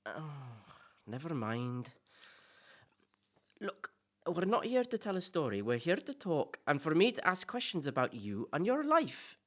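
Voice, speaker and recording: Man playing flustered podcast host, very animated intonation. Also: high voice, exaggerated voice. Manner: hesitant, apologetic, nervously deferential. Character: flustered, polite, eager-to-please male celebrity podcast host. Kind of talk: comic audio play acting.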